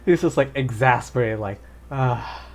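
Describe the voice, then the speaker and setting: A man's voice: mid-range voice, unhurried, gravelly. A man giving casual live commentary as he plays a game, muttering and reacting to it. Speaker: game streamer. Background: video game music and sounds.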